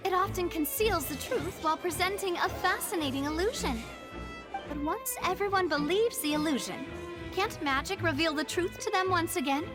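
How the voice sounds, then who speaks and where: A self-assured young lady from a video game, with a very high voice. She is polite and playful, coaxing her listeners with poise while music plays loudly underneath.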